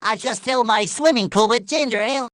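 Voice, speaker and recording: A man voicing a chirpy, pushy cartoon sea sponge pitchman in a commercial skit. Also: brisk, a bit bright, dry recording, clean studio sound. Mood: pushy, upbeat.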